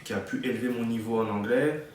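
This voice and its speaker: A man's voice: medium pitch, brisk, very echoey, recorded from a distance, slight background noise. A man in his late twenties from France, giving casual advice in a chatty vlog, with many fillers and restarts. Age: 20s. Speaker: vlogger.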